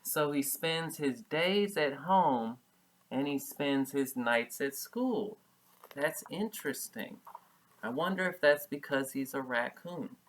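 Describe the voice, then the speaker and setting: A male preschool teacher reading a picture book to young children. His delivery is slow and patient, with simple explanations and repeated key words. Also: fairly high pitch, lively intonation, rough recording. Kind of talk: children's storybook read-aloud with simple explanations.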